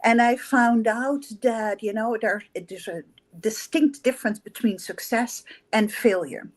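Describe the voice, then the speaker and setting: Dutch-accented woman with a higher voice. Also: a little slow. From the Netherlands. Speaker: coach and guest. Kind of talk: casual chat.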